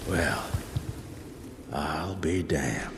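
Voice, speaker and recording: An actor playing a sardonic, seasoned monster hunter with a crude, dry, grumbling manner. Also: male voice, extremely low pitch, extremely slow, background noise, heavily acted. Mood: gruff.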